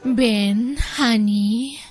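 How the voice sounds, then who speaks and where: A woman's voice: a little high, drawn-out words, no echo, faint music behind. An Ilocano-accented woman playing a coaxing, insistent wife in a radio-style drama, speaking emphatically.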